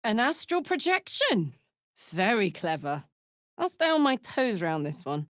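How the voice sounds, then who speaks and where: A woman's voice: medium pitch, sing-song, unhurried, a bit bright, dry recording, studio quality. A woman playing a brash, big-hearted, battle-hardened tiefling warrior in a video game, blunt, sweary and matey. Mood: wry, bold. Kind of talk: video game character voice lines.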